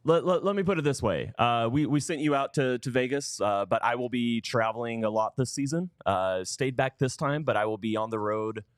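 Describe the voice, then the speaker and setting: Male sports podcast panelist, thirties, average pitch, dry sound, casual stop-start delivery. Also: normal speed, few pauses, smooth, no room sound. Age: 30s. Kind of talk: casual chat.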